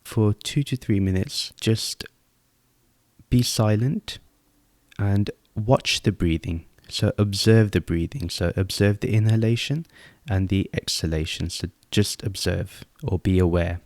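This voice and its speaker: A man leading a guided meditation as host, giving calm, unhurried instructions with pauses. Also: on the low side, dry recording.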